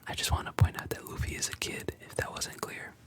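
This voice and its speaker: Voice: brisk, a bit bright. A storyteller whispering a retelling of a cartoon episode, with chatty asides.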